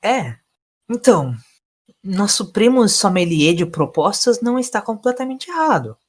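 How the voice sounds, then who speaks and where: An idealistic time traveler from an audio story, played by a male narrator, reasoning earnestly and persuasively. The voice sits fairly high for a man. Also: sing-song, moderate pace. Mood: earnest, reflective.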